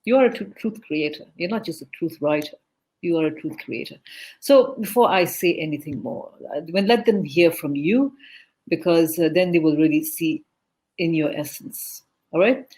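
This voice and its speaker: An event host introducing a speaker over a video call. The voice sits at a middle pitch. The delivery is effusive and loose, full of fillers and restarts. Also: animated, moderate pace, darkish tone. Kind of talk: live online event introduction.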